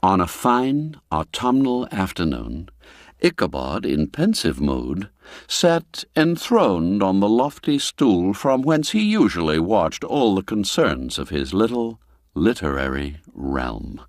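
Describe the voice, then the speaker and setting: Expressive male storyteller with very lively pitch. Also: takes it slowly, dry recording, clean studio sound. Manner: theatrical, expressive, literary delivery. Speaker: audiobook narrator. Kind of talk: dramatic scripted storytelling narration of a classic tale.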